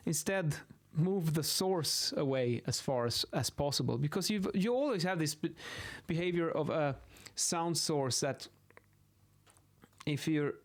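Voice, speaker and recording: A man in his thirties with a Swedish accent gives an informal explanation in a halting way, repeating words, close to a studio microphone in a small room. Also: on the high side, animated. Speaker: video host, acoustics instructor. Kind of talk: informal explainer while sketching. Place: small indoor home office. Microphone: close boom-arm studio microphone.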